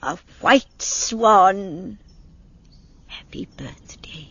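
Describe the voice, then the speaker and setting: A woman playing a gentle old serving woman, a tender, coaxing foster mother in a fantasy audio drama. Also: medium pitch, expressive, very slow, husky, dry recording, full character voice. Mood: hushed, wistful. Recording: dull sound, faint background noise.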